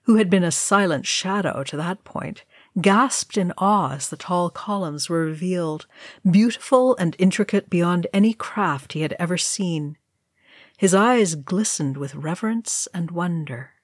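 Unhurried woman reading a story aloud. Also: fairly low pitch, no room sound, clean studio sound. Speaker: reader of a fan fiction story. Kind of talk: scripted narration, storytelling.

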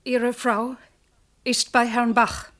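An actress playing a deferential, anxious informer of the household in a German radio play, with a hesitant, confiding manner. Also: female voice, a little high, flat delivery, slow pace, a bit bright. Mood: urgent disclosure.

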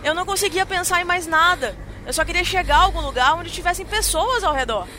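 A woman's voice: fairly high pitch, moderate pace, natural voice. An actress playing a tired, fearful woman, narrating a horror audio drama in an apprehensive, hesitant, dramatic manner. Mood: panicked. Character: tired, fearful woman recounting a nighttime attack. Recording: noisy urban street ambience with traffic.

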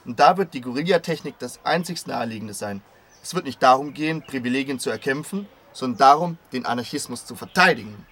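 A man playing an earnest, intellectual anarchist father who argues in measured, formal phrases in a scripted radio play. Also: mid-range voice, expressive, a bit bright. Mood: earnest, firm. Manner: measured, argumentative, formal wording. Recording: clear background noise and ambience.

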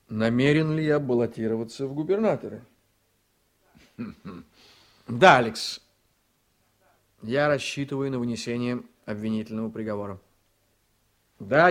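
An ambitious politician in a radio play, played by a man, with a mid-pitched male voice. He is blunt and sardonic, and he lands his points with confident emphasis. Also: normal speed, long pauses, lightly acted. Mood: guarded, confident. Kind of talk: Russian radio drama acting.

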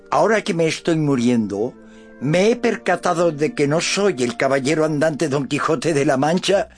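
Dying old knight, gentle, resigned, solemn, weary, declamatory, very varied pitch, radio drama, soft music behind. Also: male voice. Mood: solemn relief.

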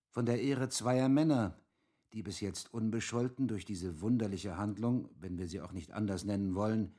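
An actor playing a cautious, honourable army major in a German radio drama, speaking in a measured, formal, grave manner. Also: male voice, mid-range voice, warm tone, lightly acted.

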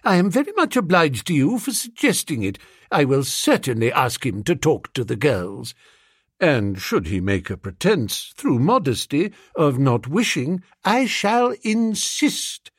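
Brisk, emphatic headmistress, played by a man. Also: medium pitch, sing-song, breathy edge, no room sound, studio quality. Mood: decided. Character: decided, gracious English headmistress, a grown woman.

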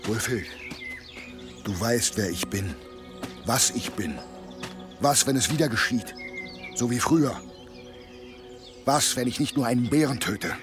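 Stubborn, wry ex-warrior, acted by a man, mid-pitched. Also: unhurried, long pauses, over music, natural voice. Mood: grave. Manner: dry, sardonic. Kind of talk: German radio play acting.

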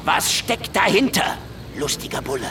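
An actor playing a cynical, sardonic, menacing Soviet private detective who also narrates, in a hardboiled radio crime drama. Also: male voice, a little high, very animated, normal speed, noisy background. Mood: menacing, mocking. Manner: sardonic, world-weary.

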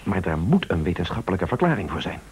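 Een acteur in een Nederlands hoorspel speelt een milde, eerlijke echtgenoot die aarzelend en sceptisch praat. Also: male voice, sits low, big pitch swings, fast talker, a little mellow, slight background noise. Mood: puzzled. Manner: doubtful, hesitant. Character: hesitant, sceptical, mild-mannered honest husband. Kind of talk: Dutch radio play acting.

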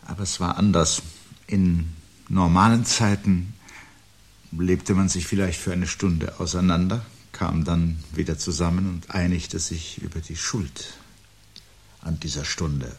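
A weary man of intellect in a seventies radio play. His voice is very deep and husky. He weighs his phrases carefully, resigned and a little pedantic. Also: fairly even intonation, full character voice. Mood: subdued, grave. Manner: measured, reflective, pedantic phrasing. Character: weary, resigned intellectual husband facing the end. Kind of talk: 1970s German radio play dialogue.

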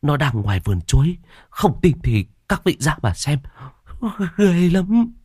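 A man's voice: a little high, big pitch swings, measured pace, a little mellow, husky, dry recording, studio quality, pitched above the performer's own voice, exaggerated voice. A man voicing a terrified older village woman who stammers and insists on what she saw. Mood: insistent frightened.

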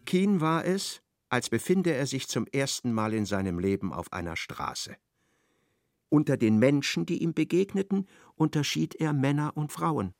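A man's voice: mid-range voice, sing-song, moderate pace, vocal fry, no echo, studio quality. A man reading scripted literary narration as the narrator of a radio play.

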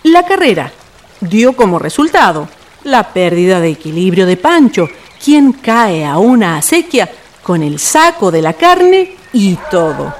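A woman's voice: medium pitch, very animated, measured pace, slight background noise. A woman narrating a scripted radio play in a theatrical storytelling manner.

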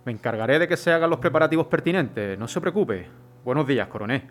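A man playing a measured, resolute city mayor in a radio drama. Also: medium pitch, lively intonation, faint music behind, close to an everyday voice. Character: dutiful male city mayor.

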